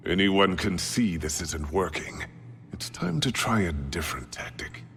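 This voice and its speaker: A man's voice: low-pitched, expressive, measured pace, a little hiss or hum. An actor playing a stern, impatient older man of authority in a video game, speaking with curt disdain. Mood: disdainful. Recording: long reverb, music underneath.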